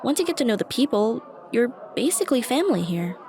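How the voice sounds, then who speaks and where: A woman's voice: a little high, big pitch swings, fast talker, darkish tone. A woman playing a shy, dorky young stranger in an ASMR roleplay, talking to the listener in a hesitant, self-conscious, rambling way. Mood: friendly, timid. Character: shy, dorky young woman, a friendly coffee shop regular. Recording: busy cafe chatter behind the voice. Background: coffee shop crowd ambience.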